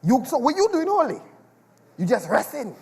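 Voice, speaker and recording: A man's voice: very high-pitched, huge pitch swings, normal speed, heavily acted. Man acting a blustering Trinidadian husband, echoey hall. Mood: grumbling, sly. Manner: exasperated, exclamatory, dramatic. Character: selfish, rum-loving husband and father, blustering and evasive. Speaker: stage actor. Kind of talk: theatrical dialect stage comedy. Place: large performance hall, on stage. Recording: echoey hall with long reverb.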